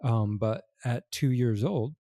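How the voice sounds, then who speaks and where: A middle-aged man co-hosting a casual podcast conversation, talking in a halting, thoughtful way. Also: medium pitch, dark tone, no echo, studio quality. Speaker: podcast co-host.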